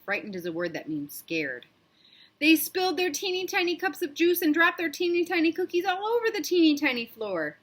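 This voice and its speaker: High-voiced woman reading a children's storybook. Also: very animated, brisk, fairly bright tone. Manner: interactive, questions and explains to young listeners. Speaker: children's read-aloud storyteller. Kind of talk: expressive storybook narration for young children.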